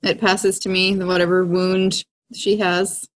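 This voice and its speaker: A woman's voice: fairly low pitch, little pitch movement, measured pace. A woman playing a tabletop role-playing game, talking casually and describing what her character does.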